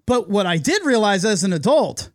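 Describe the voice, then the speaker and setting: A man in his thirties with a high voice that swings up and down a lot as he hosts a casual podcast. He jumps in with quick, excitable reactions. The sound is close and dry. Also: dry recording. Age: 30s. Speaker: podcast host. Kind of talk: casual chat.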